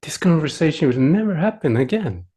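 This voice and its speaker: A middle-aged man from Sweden with a Swedish accent, a native Swedish speaker, giving a gentle, playful meditation talk over a video call, often chuckling and pausing to search for words. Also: a little high, very animated. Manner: unhurried, with pauses and searching for words. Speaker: meditation teacher. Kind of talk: dharma talk at an online retreat.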